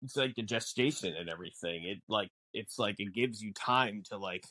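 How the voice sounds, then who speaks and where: A man co-hosting a casual film podcast, explaining things in a halting way with repeated words. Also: fairly low pitch, sharp tone, no echo. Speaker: podcast co-host. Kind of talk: casual film discussion chat.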